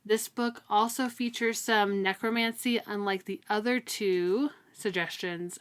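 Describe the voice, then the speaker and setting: A woman's voice: medium pitch, fairly bright tone, clean tone. A young woman co-hosting a book podcast, chatting casually and enthusiastically. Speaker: podcast co-host. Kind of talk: casual book-club chat with some prepared write-ups read out.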